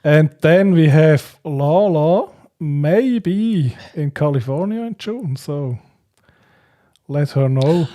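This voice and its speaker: Male livestream host, slightly high and soft-edged, unhurried and hesitant, casual chat with frequent chuckles. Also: expressive. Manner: hesitant, halting, chuckles often.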